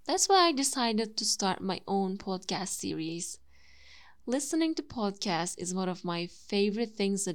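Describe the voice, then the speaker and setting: A young woman with a Turkish accent hosting a podcast, telling her own story in a clear, measured way. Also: medium pitch. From Turkey. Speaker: podcast host. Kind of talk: personal monologue.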